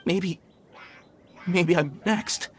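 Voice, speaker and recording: A man acting a frightened mine worker in a video game, nervous and halting. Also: high-pitched, slight background noise. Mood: fearful, haunted.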